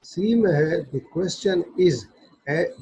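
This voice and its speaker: A man with a Pakistani accent giving an explanatory law lecture, reading passages out deliberately, phrase by phrase, and explaining them. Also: on the high side, dark tone, breathy edge. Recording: dull, lo-fi audio.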